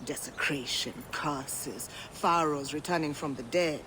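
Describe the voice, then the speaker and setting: A woman voicing a brash, cynical gambler in a video game, mocking and quick-tongued. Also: low voice, noisy background. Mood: wry.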